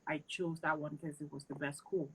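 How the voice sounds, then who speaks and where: A woman's voice: deep voice, little pitch movement, moderate pace, breathy edge, poor audio. A young woman from Cameroon whose first language is not English, giving earnest and direct advice as an interview guest. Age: young adult. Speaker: interview guest, PhD student.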